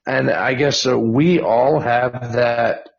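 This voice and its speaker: A man's voice: mid-range voice, steady tone, slow, vocal fry, dull audio. A man in his forties chatting casually with plenty of swearing. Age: 40s. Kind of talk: casual chat, profane.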